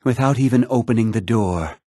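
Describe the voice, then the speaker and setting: An actor voicing a haughty, formal middle-aged mage and official in a fantasy video game, speaking precisely and with condescending politeness. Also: male voice, mid-range voice, a little fast, mellow, husky, no echo, clean studio sound, natural voice. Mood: grave.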